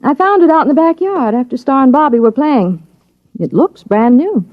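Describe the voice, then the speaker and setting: A chatty neighbor mom. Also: female voice, sits high, expressive, normal speed, no echo. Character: neighborly young mother, mildly worried. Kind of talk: 1950s radio drama acting. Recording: dull old radio recording.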